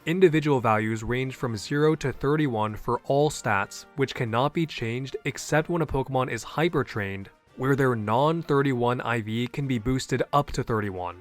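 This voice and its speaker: A man's voice: medium pitch, normal speed, hardly any pauses, faint music behind. A man hosting a scripted explainer video, earnest and opinionated, with a native English voice. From half Japanese. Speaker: YouTube host.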